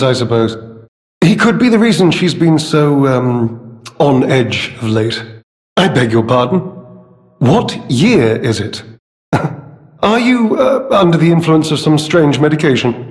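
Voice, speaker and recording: Pompous, suave gentleman schemer in reverb. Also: a little high. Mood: dismissive, amused. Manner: pompous, affected, drawling and theatrical. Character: suave, condescending gentleman schemer with feigned courtesy. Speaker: video game voice actor. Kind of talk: theatrical video game character dialogue. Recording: long hall-like reverb.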